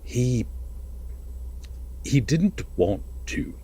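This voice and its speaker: Man playing a husky, slow-speaking obsessive lovesick captor. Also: mid-range voice, sing-song, exaggerated voice. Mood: anguished justifying. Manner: halting, hushed, wistful, with heavy pauses. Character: obsessive lovesick yandere prince, a captor telling a bedtime fairytale. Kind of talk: slow, dramatic bedtime-story audio roleplay.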